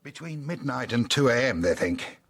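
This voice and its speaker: A man's voice: mid-range voice, expressive, normal speed, vocal fry. A man acting in a British radio drama as an informal, matter-of-fact police contact.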